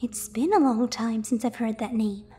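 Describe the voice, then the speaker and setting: Sardonic female ex-agent for pony audio drama, played by a woman. High-pitched, dry, spirited, faint music behind. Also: moderate pace, dark tone, lightly acted.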